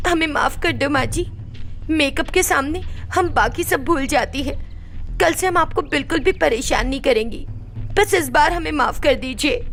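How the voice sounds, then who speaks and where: A woman's voice: high voice, animated, moderate pace, fairly bright tone, voice pushed up. A woman voicing a vain young daughter-in-law in a storybook tale, sweetly coaxing, deferential and evasive. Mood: pleading. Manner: deferential, placating. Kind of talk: acted dialogue in a Hindi moral story.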